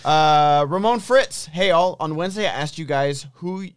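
A man's voice: on the high side, normal speed, clean tone, no room sound, clean studio sound. A man co-hosting a live show, reading viewer messages aloud in an upbeat, plain way. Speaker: co-host reading viewer questions.

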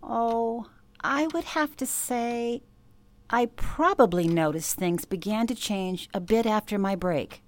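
A woman's voice: a little high, expressive, slow pace, dry recording. An actress playing an older former switchboard operator who recalls her youth with emphasis, in a radio play interview. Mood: reflective.

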